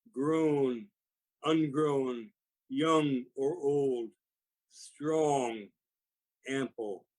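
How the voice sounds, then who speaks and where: A man reciting poetry dramatically, with measured phrasing and deliberate pauses. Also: mid-range voice. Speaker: poetry reader.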